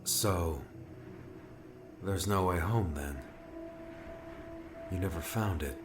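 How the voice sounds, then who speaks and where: A man's voice: sits low, slow pace, over music. A man voicing an earnest young male student who speaks plainly and questioningly, a little exasperated, in an audiobook. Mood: puzzled.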